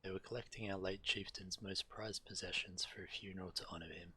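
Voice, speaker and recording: Man, game streamer, deep pitch, forceful bright tone, reading quest text, casual commentary. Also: steady tone, brisk, breathy edge.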